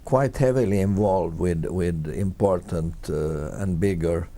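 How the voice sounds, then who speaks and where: A man's voice: low-pitched, warm tone. A middle-aged man from Finland whose first language is Swedish, speaking English as an interview guest with frequent repeats and restarts. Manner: halting, many repeated words and restarts. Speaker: guest, tourism consultant. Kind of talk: conversational interview answers.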